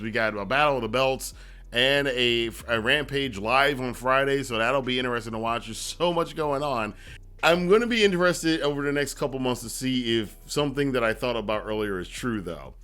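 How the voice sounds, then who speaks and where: A man's voice: mid-range voice, a bit bright. A man hosting a wrestling review, giving casual, conversational commentary with rambling asides and emphatic repetition.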